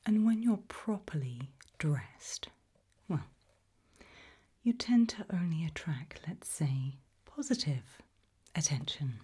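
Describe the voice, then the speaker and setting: A woman playing a polite, attentive tailor to monsters in an ASMR roleplay, speaking in a soft, breathy, unhurried whisper with a reassuring manner. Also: low-pitched, expressive, heavily acted. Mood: soft, courteous. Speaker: ASMR performer.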